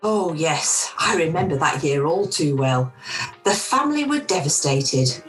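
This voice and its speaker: A woman's voice: low-pitched, big pitch swings, measured pace, faint music behind, rough recording. A woman playing a wry, hospitable pudding club hostess with a theatrical manner in an amateur radio play. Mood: wry, gossipy.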